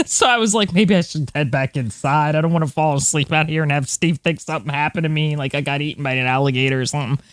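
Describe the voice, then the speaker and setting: A woman's voice: deep voice, no echo, clean studio sound. A woman, co-hosting a podcast in casual chat, telling stories informally with slangy asides. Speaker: podcast co-host.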